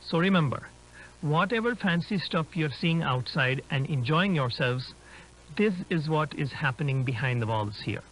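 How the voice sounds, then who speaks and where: A man's voice: on the high side, animated, moderate pace, fairly bright tone, rough recording. A man playing an inquisitive explorer, explaining things conversationally as he films a found-footage drama. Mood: disgusted, indignant. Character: inquisitive male urban-explorer filming a dinosaur park. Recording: humming background noise.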